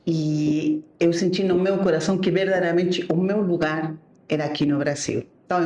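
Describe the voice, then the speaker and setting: A woman's voice: on the low side, distant mic. A woman from Bolivia speaks Portuguese with a Spanish accent and tells her story with many small fillers. She sits well back from the mic, and the room around her echoes strongly. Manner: warm storytelling, frequent "né" and "eh" fillers. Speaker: guest, leadership mentor. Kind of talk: podcast interview.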